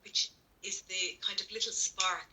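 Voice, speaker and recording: A woman's voice: medium pitch, steady tone, unhurried, pushed and bright, poor audio. A woman chatting casually and enthusiastically about herbs, with plenty of fillers and restarts. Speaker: herbal products guest. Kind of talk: casual live chat.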